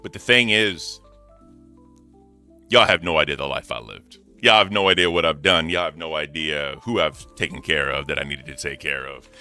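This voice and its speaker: A deep-voiced man co-hosting a casual livestream, talking back at critics in a defiant, animated way with swearing. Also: moderate pace, soft background music. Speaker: co-host.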